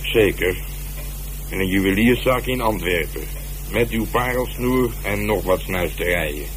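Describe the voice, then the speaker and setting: An actor playing a calm, methodical police inspector, measured and politely firm in a radio drama. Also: male voice, on the low side, slight background noise, natural voice. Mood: dry, firm. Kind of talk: naturalistic radio drama acting.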